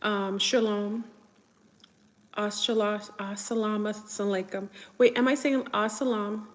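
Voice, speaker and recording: A woman's voice: mid-range voice, steady tone, measured pace. Chatty New woman, reverberant room. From New York. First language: French. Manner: rambling, chatty, answers viewer comments. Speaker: livestream host. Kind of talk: casual livestream chat.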